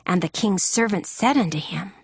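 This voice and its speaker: A woman's voice: mid-range voice, lively intonation, moderate pace, slightly rough, no echo, studio quality. A woman reading scripted narration for a dramatized scripture recording.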